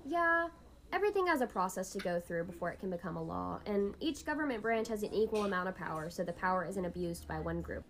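A woman's voice: medium pitch, animated, fast. A woman hosting an educational podcast in a scripted, instructive explainer style. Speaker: podcast host.

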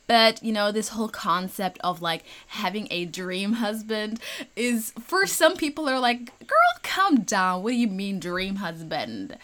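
A woman hosting a casual interview podcast, gushing and excitable as she talks with her guest. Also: mid-range voice. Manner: gushing, excitable, quick laughs. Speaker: podcast host.

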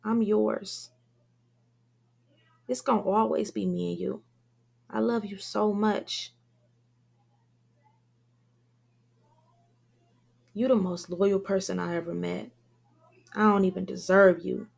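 A woman's voice: medium pitch, steady tone, measured pace, creaky, pauses often, a little mellow, breathy edge, exaggerated voice. A woman voicing a jealous, hot-tempered young man who curses bluntly in street slang. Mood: tender.

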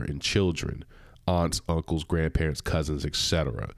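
A man's voice: a bass voice, a little mellow, dry recording, studio quality. A man hosting his own podcast in a loose, personal monologue, sounding a little nasal from a cold. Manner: conversational, loose and personal, with asides. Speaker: podcast host. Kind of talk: casual solo podcast monologue.

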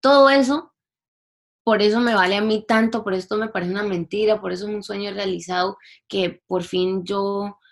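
A woman's voice: medium pitch, steady tone, moderate pace, gravelly. A young woman from Canada, a singer-songwriter guest, speaking in a reflective, chatty way and slipping in English words. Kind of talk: casual interview talk.

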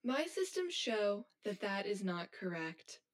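A cold robotic teen schoolgirl, slow careful talking but pitch swings around, audio drama. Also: very animated. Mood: flat, detached. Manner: stiff, formal, clipped robotic phrasing. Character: cold, blunt, robotic high-achieving teenage schoolgirl. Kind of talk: scripted audio drama acting.

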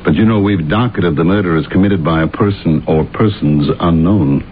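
An actor playing a calm, courteous but skeptical police detective in an old-time radio drama, speaking in a measured, formal way. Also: male voice, low voice, close to an everyday voice. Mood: polite, matter-of-fact. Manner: measured, formal, politely dismissive. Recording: dull, noisy old radio recording.

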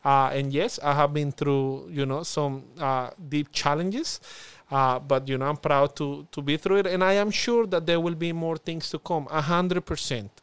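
A young man with a strong Spanish accent talks earnestly in a relaxed interview, stumbling over fillers and restarts as he thinks aloud. His voice sits a touch high and comes across smooth and resonant. The sound is dry and close. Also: moderate pace, no room sound. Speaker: guest. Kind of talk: reflective conversational interview.

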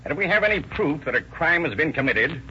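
An actor playing a brisk, commanding police chief in a 1930s radio drama. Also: male voice, a little high, very animated, sharp tone, exaggerated voice. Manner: brisk, commanding, summing things up. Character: authoritative police chief running a meeting. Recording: dull old radio recording with hiss.

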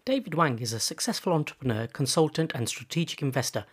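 A man's voice: on the high side, expressive, fast, slightly rough. A man hosting a podcast interview, talking in a chatty way and often restarting his phrases. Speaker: podcast host.

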